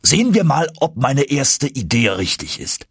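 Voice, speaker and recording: A man voicing an excitable older professor uncle in a German audiobook, emphatic and commanding. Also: mid-range voice, slightly rough, dry recording, studio quality, pitched above the performer's own voice. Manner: emphatic, triumphant exclamations and brisk orders.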